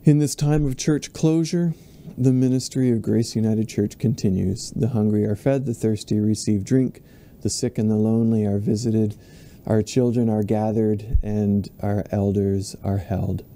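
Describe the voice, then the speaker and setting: A man in his forties, a minister leading online worship from a home office, reading prayers in a slow, measured way into a webcam. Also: on the low side, steady tone, warm tone. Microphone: webcam at desk, video call framing.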